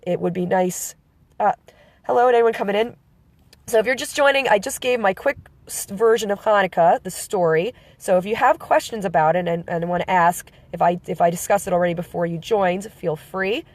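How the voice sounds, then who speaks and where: A woman with a slight cold, explaining a holiday to viewers joining a live stream, pausing often with fillers and restarting her sentences. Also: medium pitch, darkish tone, no echo. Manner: halting, with many fillers and self-corrections.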